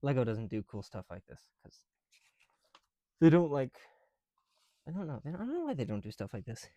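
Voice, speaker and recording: Casting: male ASMR creator, relaxed dark tone, casual muttered chat, very dry close sound. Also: medium pitch, a little fast, no echo, muffled recording. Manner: softly muttering, drops into whispered counting; casual, mildly profane. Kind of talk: ASMR, casual chat while building with bricks.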